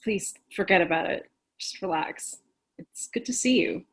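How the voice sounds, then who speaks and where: A woman's voice: lowish voice, moderate pace, lightly acted. A woman playing a sarcastic, supportive friend in a play reading, with dry, joking delivery. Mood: reassuring.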